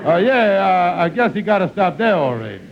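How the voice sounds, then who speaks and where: An actor playing a wheedling, folksy hustler who runs an employment agency, hemming and hawing in a 1940s radio comedy. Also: male voice, sits high, sing-song, moderate pace, vocal fry, crowd noise, exaggerated voice. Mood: haggling. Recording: muffled, noisy 1940s radio recording.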